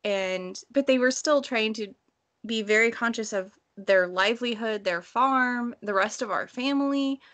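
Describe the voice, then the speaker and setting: Bright-voiced woman chatting casually. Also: a little high, measured pace. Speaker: book read-along panelist. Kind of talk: casual discussion, personal anecdotes.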